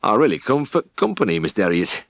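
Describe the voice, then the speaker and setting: A man's voice: on the low side, sing-song, quick pace, creaky, dry recording, clean studio sound. A man voicing a mild little Yorkshire farmhand in an audiobook, modest and absent, with a murmuring manner. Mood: meek, content.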